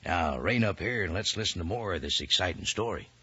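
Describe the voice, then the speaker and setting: A man doing a punchy, folksy old-time radio advertising pitch aimed at children. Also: expressive, fairly quick, heavy background noise. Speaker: radio announcer reading a cereal ad. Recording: dull vintage radio recording.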